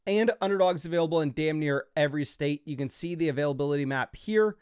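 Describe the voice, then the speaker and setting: A man's voice: on the high side, fast, a little mellow, clear, resonant tone, no room sound, studio quality. A young man hosting a fantasy football livestream, talking loosely and casually with lots of fillers as he explains his picks.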